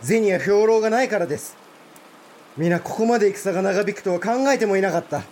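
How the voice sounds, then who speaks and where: Casting: proud, outspoken samurai male actor, notably high voice, formal archaic delivery, period audio drama, faint background noise. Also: brisk, a little mellow, natural voice. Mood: frank. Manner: formal archaic warrior speech, forthright. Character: blunt, proud provincial samurai lord, openly indignant. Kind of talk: Japanese historical audio drama.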